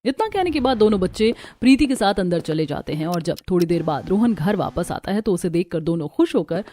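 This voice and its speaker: A woman's voice: on the low side, big pitch swings, brisk, warm tone. A woman reading a scripted story as narrator. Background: music.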